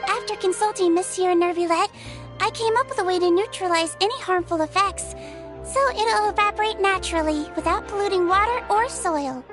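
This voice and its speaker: A woman voicing a small, kind female Melusine head nurse in a game cutscene, soft and gentle. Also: very high-pitched, brisk. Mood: cheerful. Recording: game audio with music behind.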